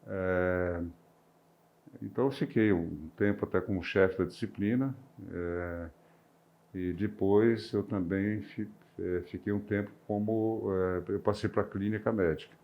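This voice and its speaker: Deep-voiced older Brazilian doctor, hesitant. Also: male voice, lo-fi sound. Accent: rural São Paulo interior, near Minas Gerais. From interior of São Paulo state, Brazil. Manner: hesitant, with frequent restarts and filler sounds. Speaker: retired physician. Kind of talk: reflective personal recollection.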